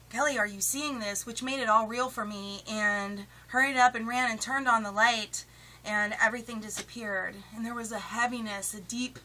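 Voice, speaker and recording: A woman's voice: medium pitch, bright, forceful tone, a little hiss or hum, poor audio. A woman in her late thirties from West Virginia, chatting and giggling through a casting audition video. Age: 30s. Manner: chatty, giggly, self-described goofball. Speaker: casting applicant.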